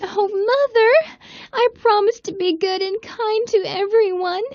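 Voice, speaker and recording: A woman's voice: very high voice, unhurried, darkish tone, dull audio. A woman playing a kind, sorrowful young girl in a storybook, speaking in an earnest, wistful way. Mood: grieving. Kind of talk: storybook character acting.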